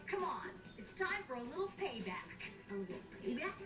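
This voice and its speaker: A woman's voice: high voice, very animated, normal speed, far from the mic. A woman voicing a plucky, cocky young boy hero in a cartoon game, brash and peppy. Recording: roomy game audio over music.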